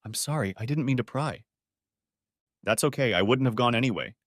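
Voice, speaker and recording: A man's voice: mid-range voice, brisk, dry recording, clean studio sound, natural voice. A man voicing a polite, understated, neglected husband in a narrated story. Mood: resigned. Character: quiet, neglected married man on holiday.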